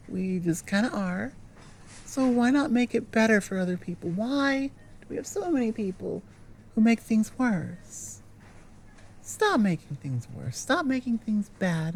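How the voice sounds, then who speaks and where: Slow-talking, shy goblin girl, acted by a woman. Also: medium pitch, a little mellow, a little hiss or hum. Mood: earnest, apologetic. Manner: hesitant, halting, rambling and apologetic. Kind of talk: ASMR audio roleplay, intimate one-sided conversation.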